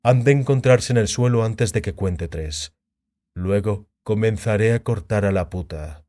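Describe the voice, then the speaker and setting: A man's voice: lowish voice, measured pace, dark tone, natural voice. A man voicing a venomous, mocking half-elf thug in a dramatized fantasy audiobook. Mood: smug threat. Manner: menacing, mocking.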